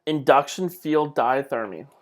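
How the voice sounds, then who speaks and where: A man's voice: mid-range voice, expressive, measured pace, a little hiss or hum. A man giving an informal slide lecture in a conversational way, with rhetorical questions and self-deprecating asides. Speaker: lecturer, clinical instructor.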